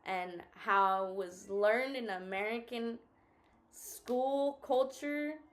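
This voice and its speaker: A woman's voice: fairly high pitch, slow. A tired woman whose first language is Spanish, co-hosting a casual reflective chat and talking thoughtfully while searching for her words. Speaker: co-host.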